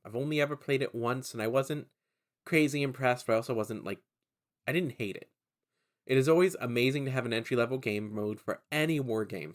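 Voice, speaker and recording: A man hosting a casual hobby commentary, stuffy and gravelly from a cold, opinionated and rambling with restarts. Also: lowish voice, fairly bright tone. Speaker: channel host.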